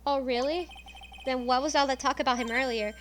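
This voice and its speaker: A woman's voice: high voice, steady tone, brisk, a bit bright, slight background noise. A woman reading the lines of an earnest young male defense lawyer, polite and questioning. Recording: game music behind voice.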